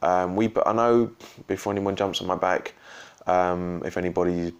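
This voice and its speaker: A man with a slightly croaky voice giving a rambling, casual post-match reaction as a football fan vlogger. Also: low-pitched, fairly even intonation, normal speed. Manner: rambling, full of ums and restarts. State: slightly croaky from shouting and drinks.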